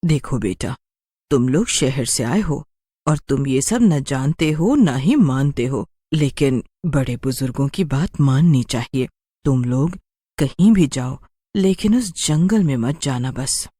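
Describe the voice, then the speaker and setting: A caring, anxious village mother voiced by a woman in a story. Her voice is low and expressive, earnest and gently cautioning, in a dry recording. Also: takes it slowly, breathy edge, no echo, heavily acted. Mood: worried. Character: caring, worried village mother warning young people.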